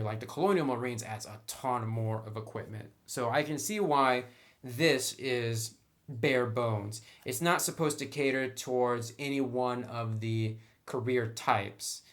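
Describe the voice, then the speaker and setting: A man's voice: medium pitch, a bit bright. A man giving a casual, unscripted review as he browses through a book, talking conversationally through each item.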